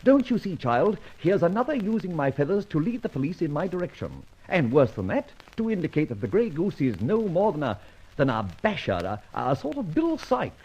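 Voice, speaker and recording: An actor playing a suave, indignant British gentleman thief in an old-time radio detective serial, with articulate, flippant delivery. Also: fairly high pitch, sing-song, fairly quick, breathy edge, muffled recording, full character voice. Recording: noisy old radio recording.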